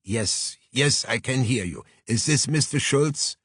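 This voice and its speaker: A man voicing a polite, deliberate private detective who speaks formally and precisely in an audiobook. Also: mid-range voice, animated, a bit bright, husky, no room sound, studio quality, pitched above the performer's own voice, full character voice. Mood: courteous. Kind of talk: audiobook character voice.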